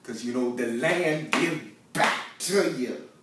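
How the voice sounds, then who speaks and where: A man acting a stage monologue as a stubborn, scheming, folksy Southern farming man, arguing with drawn-out, emphatic words. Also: medium pitch, background noise, pitched below the performer's own voice, lightly acted. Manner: drawn-out emphatic words, hums and chuckles, argumentative. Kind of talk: theatrical monologue in folksy vernacular. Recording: very echoey room, distant microphone.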